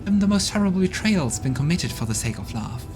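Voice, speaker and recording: A man's voice: on the high side, big pitch swings, fast, voice pushed up. A man voicing a curious, playful female demon in a game playthrough, with music in the background. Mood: probing.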